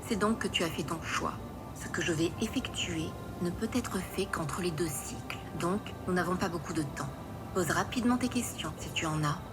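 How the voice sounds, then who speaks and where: A woman's voice: fairly low pitch, normal speed, over music, close to an everyday voice. A woman voicing a grave, commanding elder sister, a sun regent mare, in a fantasy audio drama. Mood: grave, composed. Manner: solemn, commanding, composed.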